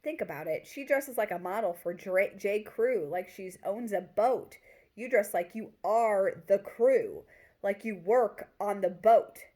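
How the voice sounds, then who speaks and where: A woman's voice: medium pitch, lively intonation, a little slow, mellow. A woman reading the part of a skeptical male college friend who is blunt and dryly teasing in a story.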